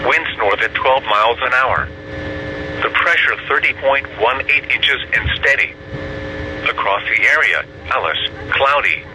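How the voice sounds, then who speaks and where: A synthetic-sounding man reads a scripted weather bulletin over the radio in a formal, impersonal, methodical way. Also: medium pitch. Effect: radio broadcast. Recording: noisy weather radio reception.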